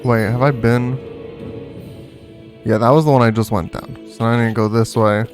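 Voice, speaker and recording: A man's voice: medium pitch, steady tone, normal speed, a little mellow. A man streaming a video game, chatting casually through his gameplay as he goes. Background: game music.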